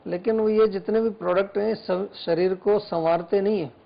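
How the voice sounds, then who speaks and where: A male Ayurvedic doctor on a studio health show, calmly explaining remedies and advice step by step. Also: sits high, moderate pace, creaky, lo-fi sound. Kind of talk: studio health talk, advising callers.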